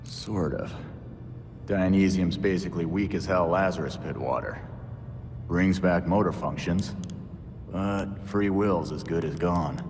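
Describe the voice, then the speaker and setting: An actor playing a cynical, crude young vigilante in a video game, with an American accent and a gruff, sardonic, blunt delivery. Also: male voice, deep voice, unhurried, noisy background. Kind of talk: video game cutscene acting. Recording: long reverb, music behind.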